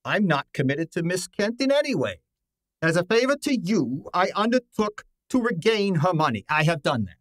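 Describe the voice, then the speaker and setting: A man acts out an imperious radio-drama detective with a high voice. He talks in formal, ornate phrases, curt and easily exasperated. The sound is dry and close. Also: big pitch swings, normal speed, no echo. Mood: irritated, dismissive. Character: pompous, gruff, money-minded male detective, curt and imperious. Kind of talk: old-time radio drama acting.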